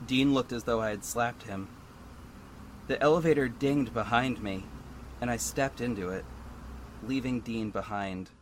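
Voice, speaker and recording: A man's voice: mid-range voice, a little slow, long pauses, slight background noise, close to an everyday voice. A man playing a young adult superhero who narrates his own story in a hurt, frank, introspective way for an audio drama. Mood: brooding, reflective. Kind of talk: first-person audio drama narration with dialogue. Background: instrumental music.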